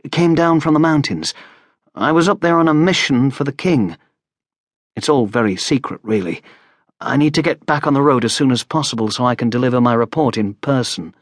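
A man's voice: mid-range voice, brisk, dry recording, clean studio sound, pitched above the performer's own voice, natural voice. A man voicing a wary, courteous injured young traveller in an audiobook, speaking hesitantly and politely. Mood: earnest, grateful. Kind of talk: audiobook character voice.

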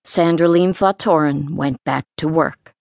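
Woman narrating, lower-pitched, close dry sound. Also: a little slow, gravelly, a little mellow, no room sound. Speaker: audiobook narrator. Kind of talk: scripted story narration.

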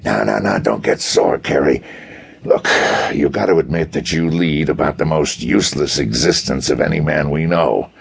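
A man voicing a gruff, exasperated federal police chief, blunt and grumbling, with a gravelly voice, in a pulp detective audiobook. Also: extremely low pitch, moderate pace, heavily acted. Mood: teasing, amused.

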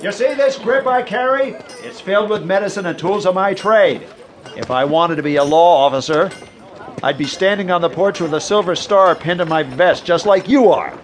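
An actor playing a wry, reluctant Old West doctor with an American accent in a radio western. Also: male voice, high-pitched, a little hiss or hum, natural voice. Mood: argumentative. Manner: sardonic, drawn-out protests. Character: wry, reluctant frontier town doctor and sharpshooter.

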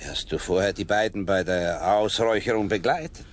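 An actor playing a terse, probing police sergeant in a radio drama, with dry questioning. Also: male voice, low-pitched, fairly quick. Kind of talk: German radio drama acting.

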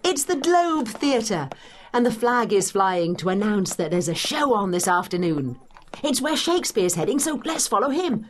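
An actress playing a knowledgeable, brisk woman guiding a listener through history in a dramatised educational audio guide, with an explanatory, teacherly manner. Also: mid-range voice, big pitch swings, slight background noise, natural voice. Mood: urging, excited.

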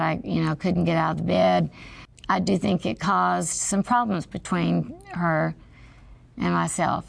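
A woman with a raspy voice telling her own story as a patient, in a halting, reflective way with pauses mid-sentence. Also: lowish voice, dry recording. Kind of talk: personal testimony.

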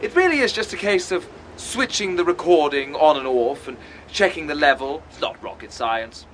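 Pompous am-dram director: high-pitched, widely swinging delivery, grand theatrical enunciation, comic radio drama, some background noise. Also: male voice, expressive, moderate pace, fairly bright tone. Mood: haughty. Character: pompous, self-important amateur theatre director, a man.

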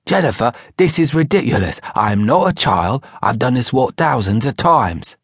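A man voicing a testy, proud, absent-minded English professor with a husky Cockney accent, in an audiobook story. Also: mid-range voice, normal speed, no echo, clean studio sound. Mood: exasperated.

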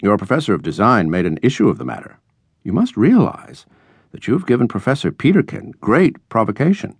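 A man voicing a stuffy, formal older male college dean who speaks in measured, disapproving phrases for a storybook. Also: on the low side, big pitch swings, vocal fry, slightly rough, no room sound, pitched above the performer's own voice, full character voice. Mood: formal, regretful.

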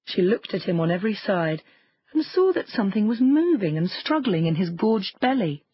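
A woman narrating a children's fairy tale audiobook in an expressive storytelling way. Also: mid-range voice, moderate pace, breathy edge, no room sound, lo-fi sound.

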